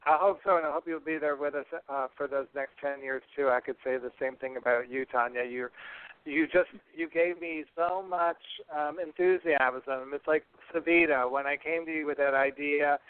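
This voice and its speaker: A man's voice: on the high side, steady tone, normal speed, clean tone. A man hosting a podcast interview in a casual, chatty way, effusive and heartfelt. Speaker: podcast host. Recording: narrow phone-like sound.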